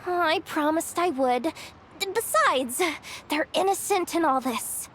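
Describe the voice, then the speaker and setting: A woman voicing a small, excitable, childlike fairy companion in a video game, with a squeaky voice and an earnest, dramatic manner. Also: unhurried, slight background noise, heavily acted. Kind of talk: video game character acting. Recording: game music behind the voice.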